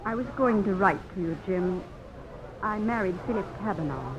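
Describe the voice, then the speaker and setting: A woman's voice: mid-range voice, lively intonation, normal speed, natural voice. Actress playing a genteel society lady, muffled radio. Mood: regretful. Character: refined, wistful Southern society lady. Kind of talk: 1950s radio drama acting. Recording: muffled old radio broadcast, crowd murmur.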